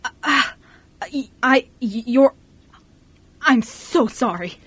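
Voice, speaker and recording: Bratty rich schoolgirl, played by a woman: high-pitched, haughty, exasperated delivery for an amateur radio play. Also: lively intonation, takes it slowly, fairly bright tone, breathy edge, no echo, exaggerated voice. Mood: flustered, guilty. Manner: whiny, snobbish, exasperated. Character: bratty, haughty wealthy teenage girl, easily exasperated.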